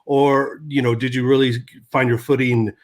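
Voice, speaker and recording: A man's voice: mid-range voice, little pitch movement, moderate pace. A man hosting a casual video-call interview, asking rambling questions full of uhs and light jokes. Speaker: podcast host.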